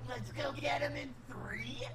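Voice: high voice, unhurried, vocal fry, reverberant, distant mic, very noisy. An actor voicing a manic, gleefully menacing animatronic bear party entertainer in a game, theatrical and sing-song. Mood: playful menace. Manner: theatrical, sing-song, over-excited showman. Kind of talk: exaggerated game character voice acting.

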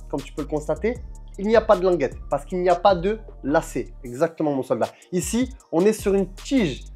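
A young man reviewing football boots to camera in a room lined with shelves, talking in an energetic, chummy way and calling the viewer his soldier. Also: on the high side, breathy edge. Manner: energetic, chummy, addresses viewer as "mon soldat". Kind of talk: casual product review to camera.

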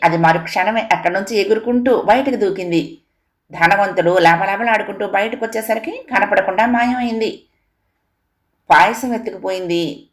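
A woman narrates a scripted moral tale for children. Her pitch sits in the middle range for a woman. She speaks as a storyteller.

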